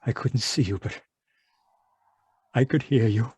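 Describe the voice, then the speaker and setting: An actor playing an anguished, haunted man in an old-time radio horror monologue, halting and repetitive in a melodramatic way. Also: medium pitch, darkish tone, slightly rough, no echo. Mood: dread, despair. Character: anguished, haunted man raving about monstrous giant worms.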